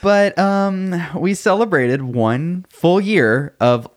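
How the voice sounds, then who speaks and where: Man, young adult, podcast co-host, playful banter, lively bouncy pitch. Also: slow pace, heavy background noise. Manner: playful, jokey, with frequent restarts. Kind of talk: casual banter.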